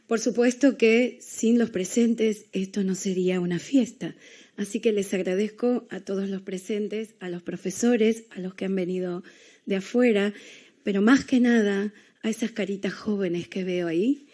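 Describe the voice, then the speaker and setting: A woman from Argentina with an Argentine accent, giving a university lecture with small pauses and hesitations. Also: mid-range voice, mellow. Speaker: guest lecturer.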